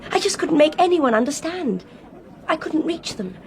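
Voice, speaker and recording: A woman's voice: high-pitched, big pitch swings, moderate pace. An actress playing a desperate, earnest young woman with an English accent in a radio drama. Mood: distressed recounting. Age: young adult. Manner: earnest, emphatic. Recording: clear train noise behind.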